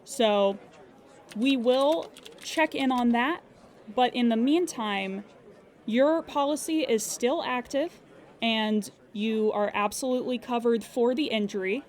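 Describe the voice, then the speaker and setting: A woman's voice: a little high, darkish tone. A woman playing a dryly weary, procedural insurance claims agent in an improvised, conversational audio drama. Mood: professional, reassuring. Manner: procedural, measured, wry asides when musing.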